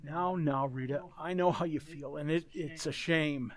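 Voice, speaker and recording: A man playing a haggard, anxious husband in an amateur radio play, speaking in a halting, troubled way. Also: on the high side, natural voice. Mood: gently pleading.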